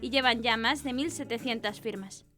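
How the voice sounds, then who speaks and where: Woman newsreader with a high voice. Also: normal speed, a bit bright, faint music behind. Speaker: news presenter. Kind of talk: scripted news narration.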